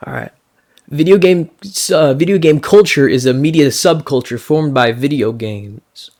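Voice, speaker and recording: A man's voice: fairly high pitch, moderate pace, a little mellow. A young man from the United States, giving a scripted class speech in a casual, wry way with slangy asides. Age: young adult. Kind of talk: recorded speech, scripted but jokey.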